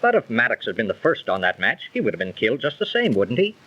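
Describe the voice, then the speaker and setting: An actor playing a confident, needling skeptic in a 1930s radio drama, insistent and persuasive. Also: male voice, medium pitch, wildly swooping pitch, fast. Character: confident, needling skeptic chatting with his pal. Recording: muffled old 1930s radio recording.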